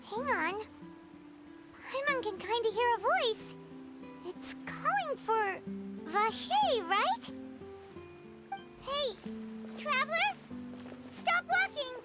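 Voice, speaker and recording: A woman voicing a small, chirpy, easily spooked fairy companion in a video game, with a squeaky childlike voice and an exclamatory way of talking. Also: very animated, slow, pauses often, heavily acted. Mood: uneasy, alarmed. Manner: refers to self in third person, exclamatory. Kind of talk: animated video game voice acting. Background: music.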